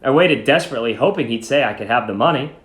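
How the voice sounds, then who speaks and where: A man speaking as a teacher, reading and instructing in an expressive, emphatic way. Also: medium pitch, quick pace. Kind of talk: dramatic story reading and lesson instruction. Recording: echoey room.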